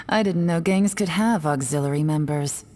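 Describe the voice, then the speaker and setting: Composed, wry, lowish-voiced adventurer. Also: female voice, a little slow, darkish tone, long reverb tail, slight background noise. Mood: amused, thoughtful. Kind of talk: English video game voice acting.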